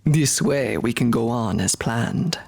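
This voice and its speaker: A solemn male zebra shaman from a fantasy audiobook, played by a woman. The voice is extremely low, and the speech is formal and measured. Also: animated, gravelly, darkish tone, husky, exaggerated voice.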